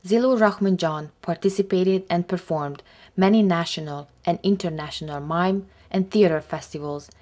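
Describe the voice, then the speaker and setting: Low-voiced woman narrating a documentary. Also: normal speed, mellow. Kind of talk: scripted narration.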